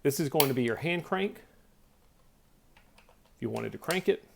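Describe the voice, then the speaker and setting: A man's voice: medium pitch, animated, moderate pace, gravelly, a little hiss or hum. A man giving a casual product demonstration, explaining things step by step in a plainspoken way. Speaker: product reviewer.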